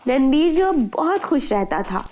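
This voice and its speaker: A woman's voice: high-pitched, sing-song, unhurried, dull audio. A woman narrating a scripted fairy tale for children. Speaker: storyteller.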